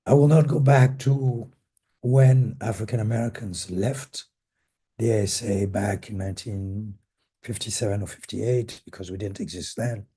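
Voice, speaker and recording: An older man, hoarse with a cold and losing his voice, recalls history in a measured, halting panel talk as a retired academic. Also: fairly low pitch, fairly even intonation, warm tone. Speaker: panelist, retired professor and former association president.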